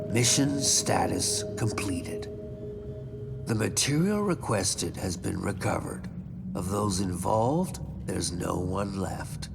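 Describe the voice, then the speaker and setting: A low, gravelly covert operative in a game cutscene, cold and grim. He dictates his report in terse, deliberate phrases, slowly, with loud music playing over him. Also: full character voice. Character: cold, weary male covert agent dictating a mission report. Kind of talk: video game cutscene acting.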